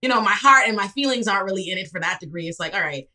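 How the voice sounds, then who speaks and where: A young woman from the Bahamas, a public defender, chatting casually on an interview show and acting out quotes with lively laughter. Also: medium pitch, racing. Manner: animated storyteller, laughs often, acts out quotes. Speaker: public defender and political commentator.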